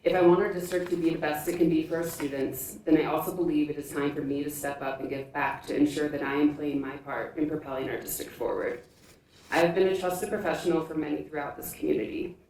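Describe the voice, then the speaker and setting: A woman's voice: low-pitched, steady tone, quick pace, darkish tone. A woman giving a prepared campaign statement at a forum as a candidate, with formal, scripted delivery. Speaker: school board candidate. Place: indoor public forum room. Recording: echoey room from far off, faint noise.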